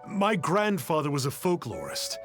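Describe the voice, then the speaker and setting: A man's voice: fairly high pitch, wildly swooping pitch, moderate pace, music in the background. An actor playing a cowardly young male fraud in a video game, with a florid, melodramatic way of talking. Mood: earnest, grateful.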